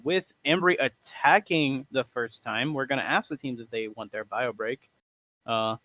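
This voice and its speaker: A man's voice: medium pitch, fairly bright tone, rough recording. Portuguese-accented male esports caster. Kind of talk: live esports commentary.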